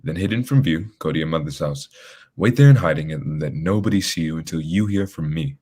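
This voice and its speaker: A very low, husky-voiced detective acted by a man from a radio mystery. He is formal, deliberate and sure of himself, giving orders with authority. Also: expressive, darkish tone, full character voice. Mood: commanding. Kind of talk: old-time radio drama acting.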